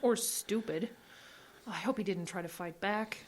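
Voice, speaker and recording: A woman's voice: mid-range voice, unhurried, slight background noise, lightly acted. A woman playing a bitter, sarcastic young woman in an audio drama, blunt and weary in manner. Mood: subdued.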